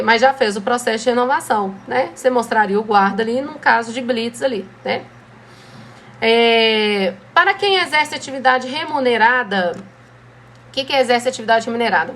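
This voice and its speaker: A Brazilian woman teaching a driving school lesson, explaining rules in a relaxed, conversational way and often checking in with her students. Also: on the high side, a little hiss or hum. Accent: Brazilian Portuguese. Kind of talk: classroom lesson.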